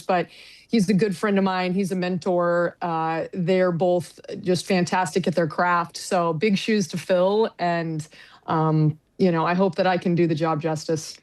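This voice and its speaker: A woman's voice: lowish voice, a little mellow. A middle-aged American woman, a sports broadcaster, talking casually and with self-deprecating humor in an interview. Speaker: sports broadcaster, radio play-by-play announcer.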